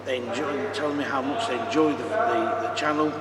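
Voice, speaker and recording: Croaky British man vlogging in a noisy hall. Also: mid-range voice, steady tone, a little fast. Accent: British English. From United Kingdom. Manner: chatty, rambling, with many ums. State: croaky from a cold. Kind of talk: casual walk-and-talk vlog. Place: exhibition hall foyer, indoors.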